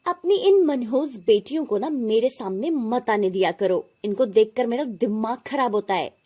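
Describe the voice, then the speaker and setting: A woman's voice: fairly high pitch, expressive, moderate pace, mellow. A woman voicing a cruel, quarrelsome aunt in a family story, scornful, snapping and complaining. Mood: scornful anger. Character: cruel, quarrelsome aunt, sharp-tongued young wife.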